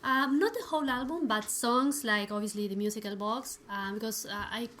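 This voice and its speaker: A soft-spoken woman from Argentina on a podcast panel, answering briefly. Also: fairly high pitch, brisk, slight background noise. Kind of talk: casual chat.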